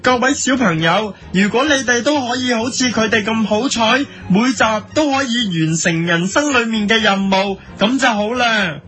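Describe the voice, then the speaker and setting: A Hong Kong Cantonese man hosts a mock-serious commentary segment. His voice is high and forceful, with a theatrical, earnest put-on gravity. Light music plays underneath. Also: normal speed, hardly any pauses. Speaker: commentary host. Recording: faint music bed under voice.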